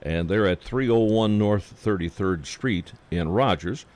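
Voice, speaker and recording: A man hosting a casual radio interview, folksy and halting, with many fillers. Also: on the low side, a little mellow. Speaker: radio host.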